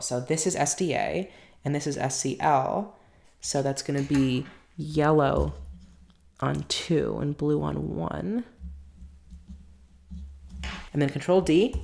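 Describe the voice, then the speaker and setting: Low-voiced woman explaining in an echoey room. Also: gravelly, slight background noise. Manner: explains step by step while working, thinking aloud. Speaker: hardware tinkerer and channel host. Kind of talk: casual technical walkthrough.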